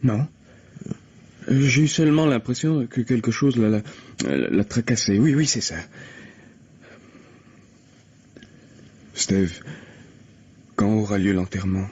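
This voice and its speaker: A struggling playwright in an old radio mystery, effusive and self-absorbed. His voice is slightly husky, and he stammers and hesitates as he talks. The recording is dull and lo-fi. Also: on the low side, normal speed, long pauses, darkish tone. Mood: shocked, subdued. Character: nervous, eager, self-absorbed struggling young male playwright. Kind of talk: vintage French radio mystery acting.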